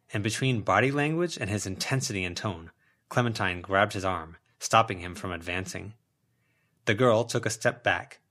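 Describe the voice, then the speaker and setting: A man's voice: on the low side, a bit bright. A man reading fiction as an audiobook narrator, in a scripted storytelling style. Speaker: audiobook reader.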